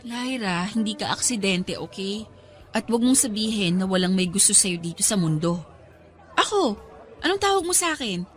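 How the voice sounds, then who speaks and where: A woman's voice: mid-range voice, animated, moderate pace, soft background music, lightly acted. An actress playing a loyal, morally firm young woman best friend in a Tagalog radio drama, emphatic and pleading. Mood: earnest, firm.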